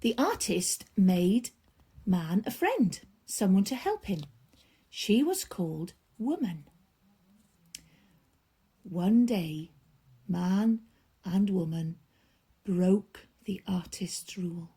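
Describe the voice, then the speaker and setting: A woman storyteller reading a children's bedtime story aloud, expressively, with drawn-out emphasis and hushed drops. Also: mid-range voice, animated, breathy edge.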